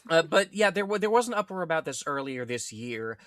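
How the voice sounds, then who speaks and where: Male political podcaster, bright tone, halting delivery full of reworded phrases, tight dry recording. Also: a little high, sing-song, dry recording. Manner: halting, repeats and rephrases words. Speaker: podcast co-host.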